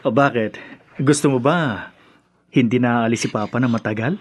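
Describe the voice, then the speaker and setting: A man's voice: medium pitch, normal speed, no room sound, close to an everyday voice. An actor playing a loving, remorseful husband and father in a Filipino radio drama, speaking earnestly and emotionally with a Filipino accent. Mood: affectionate.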